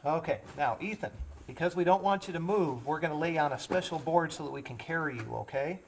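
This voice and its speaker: A man's voice: a little high, a little fast, a little hiss or hum, rough recording. A man working as an EMT, talking a child patient through each step simply and reassuringly. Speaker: EMT with a rescue squad.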